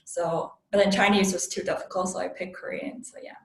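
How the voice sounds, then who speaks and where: A woman's voice: medium pitch, fairly even intonation, a little fast. Modest young woman, native Vietnamese speaker, roomy audio. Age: young adult. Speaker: student group president. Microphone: video call.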